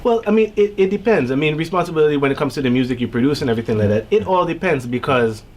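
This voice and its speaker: A man's voice: on the high side, lively intonation, very fast, slight background noise. A man from Toronto, a soca artist, talking confidently and emphatically as a guest in a radio studio interview. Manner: confident, emphatic, explains with examples.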